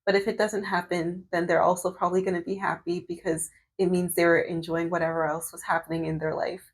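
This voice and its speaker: A woman's voice: fairly low pitch, little pitch movement, a little fast, breathy edge. A woman in her thirties vlogging while doing her hair, chatting casually with lots of fillers and restarts. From Canada. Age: 30s. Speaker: medical student and vlogger.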